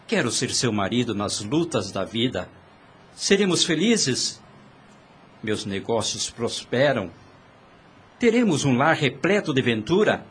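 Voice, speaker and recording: An actor playing an ardent, insistent suitor in a Brazilian radio soap, speaking in formal, declamatory phrases. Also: male voice, medium pitch, very animated, a little slow, long pauses, breathy edge, heavily acted. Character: Antero, ardent, insistent male suitor and adventurer.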